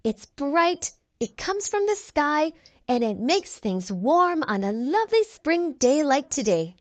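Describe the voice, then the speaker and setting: A woman's voice: sits high, sing-song, slow, no room sound, full character voice. A woman voicing a kind, encouraging preschool teacher in a storybook, explaining patiently to small children.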